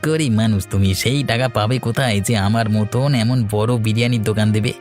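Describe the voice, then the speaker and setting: Man acting a boastful male shopkeeper, very quick delivery, scoffing and taunting, cartoon story, light music behind. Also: mid-range voice, steady tone, a little mellow, lightly acted. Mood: mocking. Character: arrogant, mocking male biryani shop owner and husband. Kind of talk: Bengali moral-tale cartoon voice acting.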